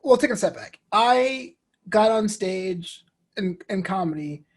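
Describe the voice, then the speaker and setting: A man in his thirties telling stories as an interview guest, expressive and inclined to act out what people said. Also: high-pitched, unhurried. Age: 30s. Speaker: guest, comedian and show host. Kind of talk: animated interview storytelling.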